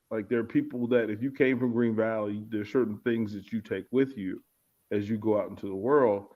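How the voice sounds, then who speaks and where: Reflective man, muffled low-fi sound. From Arlington, Virginia. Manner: reflective, repeats words while searching for phrasing. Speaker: guest author and speaker. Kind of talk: casual interview chat. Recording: muffled lo-fi audio.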